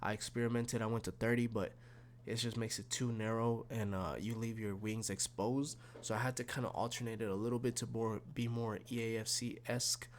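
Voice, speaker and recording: A man with a slightly raspy, snuffly voice from being sick, casually walking through football game tactics with lots of fillers and restarts. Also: mid-range voice, flat delivery, brisk, a little hiss or hum. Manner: rambling, many fillers and restarts. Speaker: gaming YouTuber.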